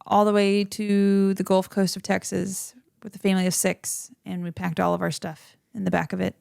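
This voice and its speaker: A woman's voice: medium pitch, level tone, moderate pace, gravelly, a little mellow, clear, resonant tone, no room sound, studio quality. A woman in her thirties, a podcast guest, chatting casually and laughing often. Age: 30s. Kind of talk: casual chat.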